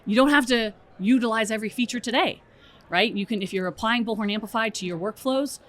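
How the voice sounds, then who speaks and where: A woman's voice: fairly high pitch, quick pace, a bit bright. A woman speaking as an expert guest in a conversational interview, explaining things and often checking in with "right?". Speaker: interview guest, strategy director at a software company.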